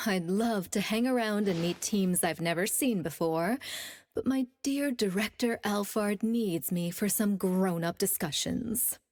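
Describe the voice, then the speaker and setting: A woman's voice: mid-range voice, takes it slowly, darkish tone. A woman voicing a playful, teasing adult colleague in a video game, with a theatrical drawl. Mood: teasing, breezy.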